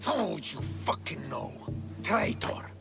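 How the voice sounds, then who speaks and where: A man's voice: on the high side, very animated, takes it slowly, vocal fry, over music, exaggerated voice. A man playing a bitter, hot-tempered young Spartan army commander in a video game, with an intense, accusatory, scornful delivery. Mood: furious. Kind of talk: dramatic video game acting.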